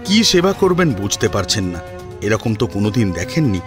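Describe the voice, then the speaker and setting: A man narrating a scripted family drama in an expressive, suspenseful storytelling manner. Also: on the low side, moderate pace, over music.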